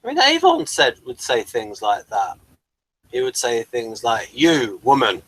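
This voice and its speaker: A man's voice: mid-range voice, sing-song, measured pace, fairly bright tone, husky, low-quality recording. A man with a mid-range voice takes part in a casual review chat. He talks as one of the panelists, in an informal style.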